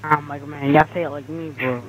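Brash high-voiced young heckler. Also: male voice, fairly even intonation, fairly quick, a little hiss or hum, poor audio. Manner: loud, brash slang-heavy heckling. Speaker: fellow player. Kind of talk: casual gaming trash talk.

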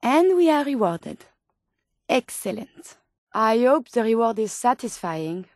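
Game companion: polite, caring French-accented female robot scientist. High-pitched, precise, formal, dry studio sound. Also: very animated, measured pace, no room sound. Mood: helpful, eager. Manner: formal, precise, clinical phrasing. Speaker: video game voice actor. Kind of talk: video game companion dialogue lines.

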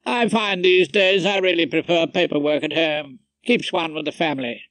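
A man's voice: on the high side, normal speed, a bit bright, dry recording. A man voicing a fussy, grudging male barrister in an audiobook, prim and a little petulant.

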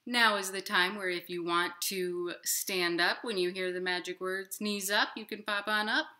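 A woman hosting storytime, speaking in simple, clear phrases to young children. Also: fairly low pitch, steady tone, moderate pace, bright, forceful tone. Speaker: children's library storytime host. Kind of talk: storytime for young children, talking and reading aloud. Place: living room at home, indoors.

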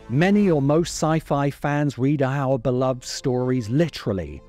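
A middle-aged man delivering scripted commentary as a video essayist, with measured, emphatic phrasing. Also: mid-range voice, dark tone. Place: open-sided covered outdoor space. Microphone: desk microphone close in front. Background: music.

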